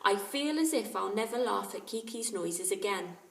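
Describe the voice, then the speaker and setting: A woman's voice: mid-range voice, expressive, moderate pace, creaky, a little hiss or hum. A woman voicing a weary, grumpy boy with flu in a storybook reading. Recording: roomy, distant microphone.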